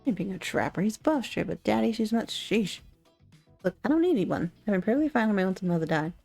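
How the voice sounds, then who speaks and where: A woman's voice: mid-range voice, animated, fast, vocal fry, darkish tone, faint music behind. A woman voicing a guarded, boastful huntress from a dating sim game, blunt and proud. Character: guarded, boastful wild huntress raised in the woods.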